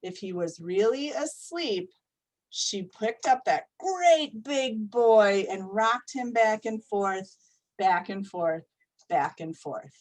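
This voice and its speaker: A woman reading a children's story aloud in a gentle, repetitive storybook cadence. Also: medium pitch, lively intonation, slow pace.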